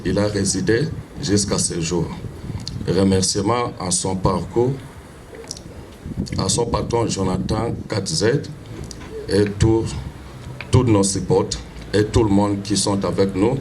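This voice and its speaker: Male funeral speaker, measured delivery, low pitch, noisy outdoor recording. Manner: slow, measured, formal reading. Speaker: funeral master of ceremonies. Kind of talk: reading a biography at a funeral.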